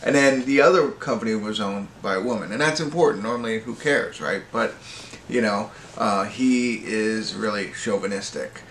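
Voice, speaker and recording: A middle-aged American man giving a casual, unscripted movie review in a halting, rambling way, often correcting himself. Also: fairly low pitch, a bit bright. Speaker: amateur movie reviewer and host. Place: small indoor wood-panelled room at home. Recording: roomy echo with faint background noise.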